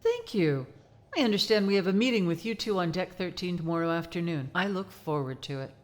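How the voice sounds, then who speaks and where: A woman's voice: on the low side, expressive, fairly quick, close to an everyday voice. A woman playing a gracious, friendly famous actress in audio drama dialogue, polite and cordial.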